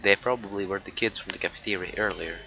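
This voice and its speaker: A man live streaming a visual novel, reading it aloud haltingly and muttering casual asides. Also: low voice. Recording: dull lo-fi mic with noise.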